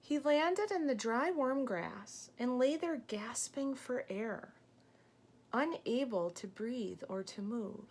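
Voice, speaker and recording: A woman teacher reading a picture book aloud expressively, pausing to ask young listeners questions and explain things. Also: fairly high pitch, animated, breathy edge.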